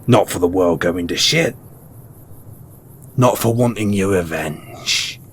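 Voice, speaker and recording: A man's voice: low voice, slight background noise. A man playing a mysterious, wry and cynical wasteland merchant in a post-apocalyptic audio roleplay, speaking colloquially and knowingly straight to the listener. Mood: sardonic. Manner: unhurried, colloquial, knowing, with pointed pauses.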